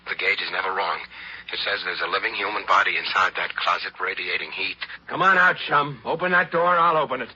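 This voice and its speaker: A man's voice: sits low, brisk, sharp tone, breathy edge, heavily acted. A man playing a stern, dutiful spaceship pilot in a 1950s radio drama, speaking tersely with grim regret. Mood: suspicious, commanding. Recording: dull, lo-fi 1950s radio recording.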